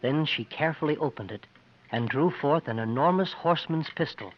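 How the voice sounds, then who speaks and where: A man's voice: fairly high pitch, lively intonation, brisk, slight background noise, close to an everyday voice. An actor playing an earnest young man who narrates his frontier adventure in 1950s radio drama style. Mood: dramatic. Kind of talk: 1950s radio acting, first-person storytelling. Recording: dull 1950s radio recording with music.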